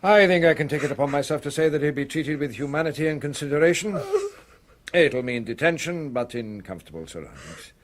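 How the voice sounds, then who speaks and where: A man's voice: on the high side, animated, fairly quick, lightly acted. A man playing an authoritative police inspector in a radio play, formal and considerate yet commanding. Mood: measured, consoling.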